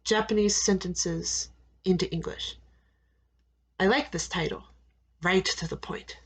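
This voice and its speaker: An American woman teaching English, giving students slow, deliberate advice and repeating key phrases with frequent "okay?" checks. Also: lowish voice, fairly even intonation, husky. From United States. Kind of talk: classroom advice talk to students.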